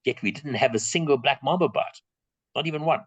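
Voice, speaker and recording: A man's voice: medium pitch, fairly quick, fairly bright tone, breathy edge, no room sound, dull audio. A middle-aged South African man answering audience questions in an online talk, plain-spoken and emphatic, repeating his key points. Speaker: guest speaker on reptiles.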